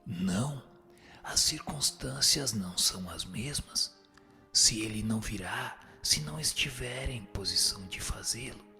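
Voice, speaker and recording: Man acting a low gravelly solemn spirit over music. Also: lively intonation, sharp tone, full character voice. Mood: solemn, instructive. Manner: measured, didactic. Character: solemn wise male spirit, instructing with calm authority. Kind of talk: scripted spiritist radio drama, answering questions. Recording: music playing under the voice.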